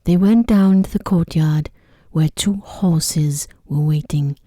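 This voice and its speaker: A woman narrating an ASMR bedtime story in a gentle, hushed, carefully enunciated way, close to the microphone. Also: low-pitched, takes it slowly, no echo. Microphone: close microphone in a dry space.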